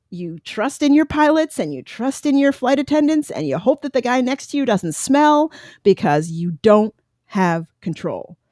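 A woman's voice: medium pitch, dark tone, dry recording, clean studio sound. A woman in her forties telling her own adoption story in a candid, reflective way, with many fillers and restarts. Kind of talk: personal storytelling monologue.

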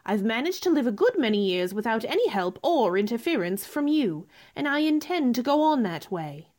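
A woman's voice: a little high, big pitch swings, moderate pace, darkish tone. A woman playing a spirited, self-possessed young lady in a radio play, speaking politely but firmly and with a stubborn streak. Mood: defiant. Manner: polite but firm, pointed. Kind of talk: radio theater acting.

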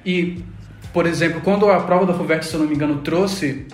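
A man's voice: fairly high pitch, fairly quick, echoey room. A man teacher lecturing students emphatically during an educational panel talk.

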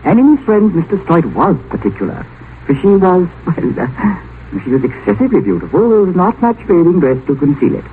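An actor playing a timid, polite scholar in a vintage radio drama, hesitant, prim and formal. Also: male voice, sits high, sing-song, fairly quick. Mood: prim, wry. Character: timid, polite, bumbling bald scholar, also narrating. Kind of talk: 1950 radio drama acting and narration. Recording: noisy, tinny old radio broadcast.

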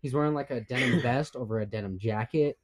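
A man's voice: medium pitch, fast. A young man hosting a casual podcast, joking crudely and laughing often into a studio mic. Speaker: podcast host. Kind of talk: casual chat. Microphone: studio mic on a deadener.

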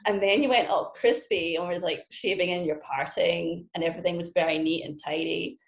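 A woman's voice: on the low side, a little fast. Teasing Scottish woman, roomy echo. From Scotland. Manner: witty, teasing, blunt. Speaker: podcast guest. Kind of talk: casual podcast chat.

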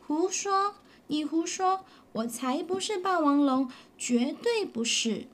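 A woman voicing a young boy dinosaur in a storybook, speaking in high, pleading protests with stammers. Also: animated, slow pace, a little hiss or hum. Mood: protesting. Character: young male dinosaur child, upset and stubborn.

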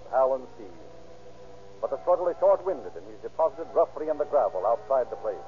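Guy narrating old radio crime drama, solemn n theatrical, thin phone-like sound w music. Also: mid-range voice.

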